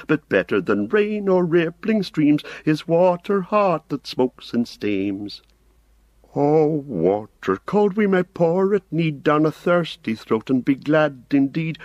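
A man voicing an exuberant young male hobbit, singing a jolly song in a slow, hearty sing-song. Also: fairly high pitch, no room sound, voice pushed up.

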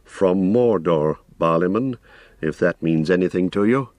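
Man doing a grim, low-voiced ranger. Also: no room sound, natural voice. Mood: grave. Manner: terse, grave, deliberate. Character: grim, blunt, commanding male ranger. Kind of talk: audiobook character voice.

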